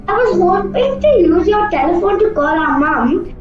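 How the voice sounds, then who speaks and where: A woman's voice: very high voice, expressive, moderate pace. A woman playing a polite, nervous young girl in a radio play, earnest in her delivery. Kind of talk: amateur radio play acting. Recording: echoey, dull audio with music. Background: music.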